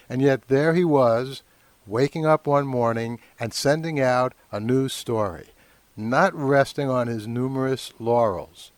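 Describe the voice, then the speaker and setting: A man's voice: mid-range voice, no echo. A middle-aged man talking solo as the host of a podcast.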